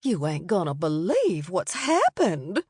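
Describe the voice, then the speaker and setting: A woman voicing a fussy, gossiping older Southern lady who talks in a prim, drawn-out, scandalized way. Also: on the low side, wildly swooping pitch, a little mellow, slightly rough, dry recording, clean studio sound, full character voice. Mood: doting.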